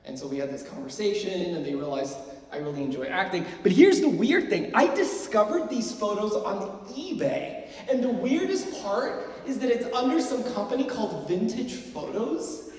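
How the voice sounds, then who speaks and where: A man's voice: sits high, sing-song, moderate pace, darkish tone. Chatty man in an echoey hall. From Sweden. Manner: chatty, self-deprecating, many fillers. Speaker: workshop presenter. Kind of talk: casual lecture to students. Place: lecture hall. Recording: roomy hall, mic far off.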